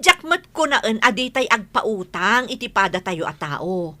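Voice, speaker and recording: A woman with an Ilocano accent playing a stingy, sharp-tongued village wife in a radio comedy drama, scolding and exclaiming. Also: mid-range voice, sing-song, unhurried. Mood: reproachful.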